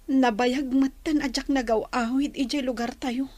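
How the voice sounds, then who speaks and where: High-voiced, troubled grown daughter. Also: female voice, steady tone, normal speed, a little mellow. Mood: uneasy, plaintive. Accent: Ilocano. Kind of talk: radio drama acting.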